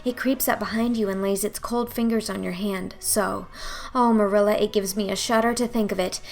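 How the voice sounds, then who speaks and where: A woman's voice: medium pitch, steady tone, moderate pace, creaky, lightly acted. A woman playing an imaginative, eager and easily frightened young girl in a storybook reading, breathless and theatrical. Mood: dramatic dread.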